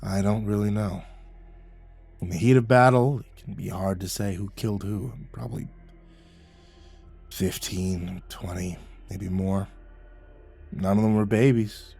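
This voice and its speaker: A man voicing a boozy old male war veteran who talks in a low, muttering, menacing way. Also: fairly even intonation, measured pace, pauses often, a little mellow, soft background music. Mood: weary.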